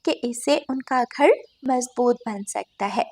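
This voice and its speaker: A woman's voice: a little high, slow pace. A woman narrating a scripted cartoon story as the storyteller.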